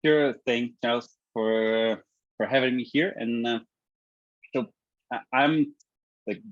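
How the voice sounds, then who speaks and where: A man, a guest in an interview, talking in a halting way with many restarts and fillers. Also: medium pitch, dry recording, lo-fi sound. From Ukraine. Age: 30s. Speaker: guest, startup founder. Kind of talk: casual interview talk.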